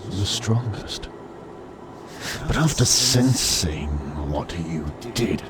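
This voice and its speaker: Man voicing an ancient, slow, deep entity. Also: noisy background, full character voice. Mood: proud, measured. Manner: slow, deliberate, grandiose. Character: ancient male entity of immense power, proud and coaxing. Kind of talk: audiobook character voice.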